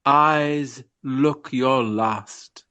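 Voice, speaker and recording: An actor playing a courtly, earnest Regency gentleman actor in a radio play, declaiming in a florid, formal and theatrical manner. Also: medium pitch, drawn-out words, clear, resonant tone. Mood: mournful, declaiming.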